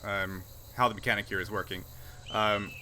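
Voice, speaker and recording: A man's voice: fairly low pitch, little pitch movement, normal speed, sharp tone, a little hiss or hum, low-quality recording. A man running a tabletop role-playing game, casually narrating and explaining the rules. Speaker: game master.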